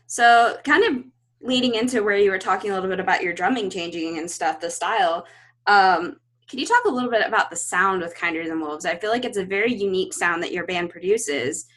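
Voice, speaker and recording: A woman's voice: medium pitch, brisk, a bit bright. A woman interviewing a musician, talking in an encouraging, enthusiastic way. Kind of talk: casual interview.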